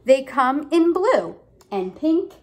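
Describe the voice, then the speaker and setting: A young woman reads a picture book aloud at an unhurried pace. Her pitch swings in a lively way as she stresses key words. She speaks like a teacher, pausing to put simple questions to a small child. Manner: deliberate, emphatic storybook phrasing, prompting with questions. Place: indoor room at home.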